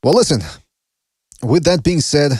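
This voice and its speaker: A man's voice: fairly high pitch, a little slow, breathy edge, no echo. Guy hosting a boxing podcast, loose and swears a lot, dead dry sound. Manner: casual and profane, thinking out loud. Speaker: podcast host. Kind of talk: casual boxing podcast chat.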